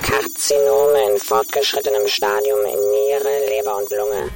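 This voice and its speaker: A man plays a stubborn, terse reader in a radio play. He recites lists and scripture in a flat tone with a low voice. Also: measured pace, close to an everyday voice. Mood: reciting. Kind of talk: German radio play acting.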